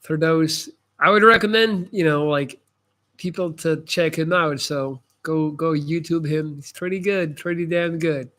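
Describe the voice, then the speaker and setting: A man's voice: fairly high pitch, unhurried, fairly bright tone. A Belgian man, a native Dutch speaker with an American-sounding accent, chatting casually as he hosts a livestream, informal and emphatic with slangy asides. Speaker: livestream host giving advice. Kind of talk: casual live chat, answering comments.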